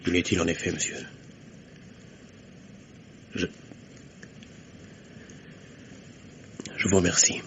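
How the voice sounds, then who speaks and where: An actor playing a polite, self-possessed forger who poses as a loyal friend in an old radio mystery. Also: male voice, sits low, level tone, normal speed, a bit bright. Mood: subdued, resigned. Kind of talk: 1950s French radio mystery acting. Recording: noisy old radio recording.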